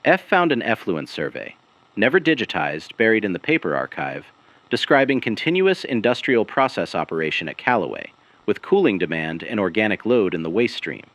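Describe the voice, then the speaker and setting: A man playing a weary, haunted investigative broadcaster, narrating a scripted audio drama gravely and deliberately, in clipped phrases with dramatic pauses. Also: fairly low pitch, sing-song, a bit bright, no echo. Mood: weary, resolute. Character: weary, haunted male investigative broadcaster piecing together a conspiracy. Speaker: broadcast host and narrator of a fictional missing person investigation series.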